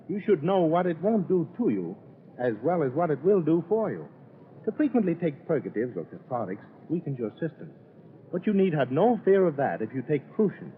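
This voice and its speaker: A man working as a radio advertising announcer, delivering a 1930s-style sales pitch. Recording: tinny, noisy 1930s radio recording.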